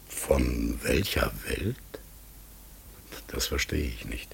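An actor playing a talking raven in a radio play, polite, forgetful and melancholy, with a hoarse, breathy voice and a halting, searching way of speaking. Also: male voice, very deep voice, very animated, slight background noise, exaggerated voice. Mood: unsure, brooding. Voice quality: hoarse, gravelly, breathy, often dropping to a whisper. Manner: slow, halting, earnest, searching for words. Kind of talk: classic German radio play acting.

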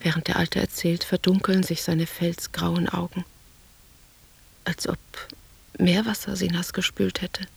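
A woman playing a lonely, dreamy lover in a literary radio play, speaking her inner thoughts in hushed, breathy fragments. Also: lowish voice, flat delivery, a little slow, heavily acted. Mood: dreamy longing. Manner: hushed, introspective, short fragmentary phrases.

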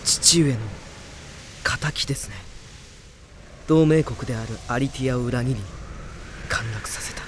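An actor playing an earnest, courteous young mage in a radio drama. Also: male voice, medium pitch, unhurried, pauses often, a little hiss or hum, natural voice. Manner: polite, earnest.

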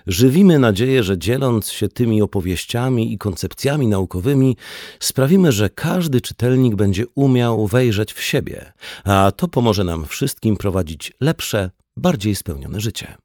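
Low-pitched man narrating a nonfiction audiobook. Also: lively intonation, moderate pace, a little mellow, no room sound, clean studio sound.

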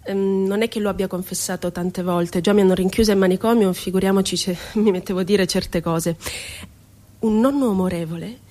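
A woman's voice: mid-range voice, steady tone, fairly quick, mellow, natural voice. An actress playing a passionate, wounded woman poet shut away in an asylum, musing aloud with irony and tenderness in a theatrical radio monologue. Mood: animated, confiding. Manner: dramatic, ironic, shifting between tender and indignant.

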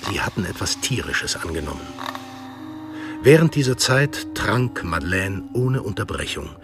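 A man's voice: deep voice, big pitch swings, moderate pace, creaky, music in the background. A man narrating a radio drama from a script.

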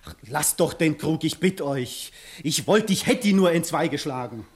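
An actor playing a stubborn, sulky young peasant suitor in a German radio play, with blunt, taunting delivery. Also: male voice, on the high side, expressive, moderate pace. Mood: grudging. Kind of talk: 1960s German-language radio acting.

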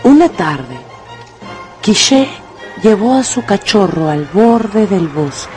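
Lady telling a story for radio drama, mellow soft-toned voice, medium pitch, expressive. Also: takes it slowly, faint music behind. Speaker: narrator.

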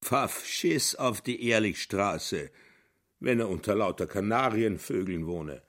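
Slow, deep-voiced coarse man. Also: lively intonation, a bit bright, exaggerated voice. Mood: grudging. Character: coarse, brawny, self-pitying working man. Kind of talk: German radio drama acting.

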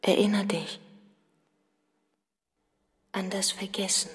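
An actress playing a haunting female spirit in a poetic radio play, breathy and whispery, slow and incantatory. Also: medium pitch, fairly even intonation. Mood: whispered, urging. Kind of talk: poetic audio drama monologue.

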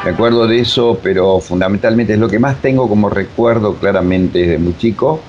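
An older man with an Argentine accent reminiscing in a casual interview, halting and repeating himself. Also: on the low side, a little mellow, soft background music. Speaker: radio drama director, interview guest. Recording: dull remote sound with faint noise.

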